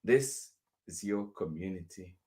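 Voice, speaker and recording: A man reading a children's picture book aloud as a teacher would, deliberately, with pauses between phrases. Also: low-pitched, lively intonation, a little mellow.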